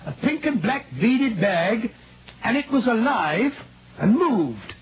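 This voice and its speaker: A man acting a bluff, kindly, befuddled English gentleman doctor in a 1940s radio drama, blustering and stammering as he repeats himself. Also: very high-pitched, sing-song, slow pace, slightly rough, full character voice. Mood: earnest recounting. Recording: tinny, faintly noisy 1940s radio recording.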